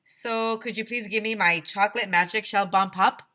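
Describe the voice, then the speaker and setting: A woman's voice: mid-range voice, steady tone, moderate pace, sharp tone, close to an everyday voice. A woman voicing an impatient schoolchild in a storybook, pleading and insistent. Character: impatient schoolchild craving ice cream.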